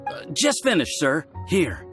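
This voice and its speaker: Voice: medium pitch, wildly swooping pitch, unhurried, over music. An actor playing a bashful, earnest young male alchemy student in a video game, hesitant and deferential. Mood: sheepish. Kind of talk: video game character acting.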